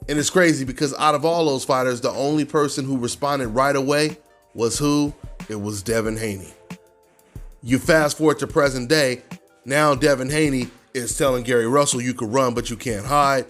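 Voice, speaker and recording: A man's voice: medium pitch, steady tone, normal speed, fairly bright tone, soft background music. A man hosting a boxing news show, giving conversational commentary in an emphatic way, repeating points for stress. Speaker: host of a boxing news channel.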